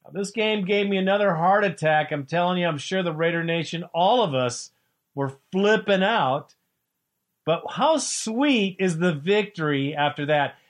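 Host: man, fairly high voice, excitable fan talk, preachy streak. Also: unhurried. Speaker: radio show host. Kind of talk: sports talk radio.

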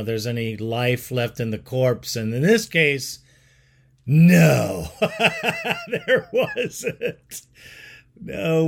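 A man hosting a sports call-in show, laid-back and chatty, calling callers "bro". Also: mid-range voice. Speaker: sports talk show host. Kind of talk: casual call-in show chat.

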